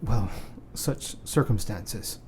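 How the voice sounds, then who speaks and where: A courteous Victorian gentleman, faint noise behind. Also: on the low side, animated, slow. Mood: tender, hesitant. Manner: polite, formal period phrasing. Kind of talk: radio play acting.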